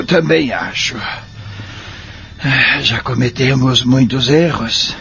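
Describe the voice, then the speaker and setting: Man acting a slow, gentle old Brazilian man. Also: lowish voice, a little hiss or hum, exaggerated voice. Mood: remorseful. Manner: gentle, earnest, formal. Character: gentle, repentant old man nearing death. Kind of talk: audio drama acting. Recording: dull lo-fi audio with music behind.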